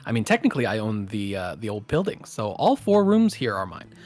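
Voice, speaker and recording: A man running a tabletop game, narrating in a relaxed way with frequent pauses. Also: fairly low pitch. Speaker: game master.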